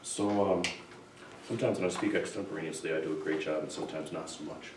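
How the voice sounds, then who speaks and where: A man with a low voice introduces himself in a measured manner, working from notes. He sounds distant in a roomy space with clear background noise. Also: steady tone. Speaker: school board candidate. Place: indoor public board meeting, far microphone. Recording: noisy echoey room, distant microphone.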